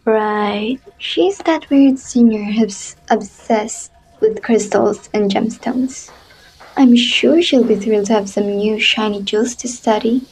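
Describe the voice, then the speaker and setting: A woman acting a judgmental, reserved young student with a measured, dismissive manner in an amateur voiced roleplay. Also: a little high, a little mellow, breathy edge, exaggerated voice, rough recording.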